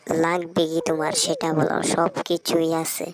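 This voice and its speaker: A woman voicing a gruff, mocking older male vegetable vendor in a comic cartoon, curt and taunting, with a Bangladeshi accent. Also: deep voice, level tone, normal speed, close to an everyday voice. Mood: brusque. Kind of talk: amateur comic cartoon dubbing. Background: loud music.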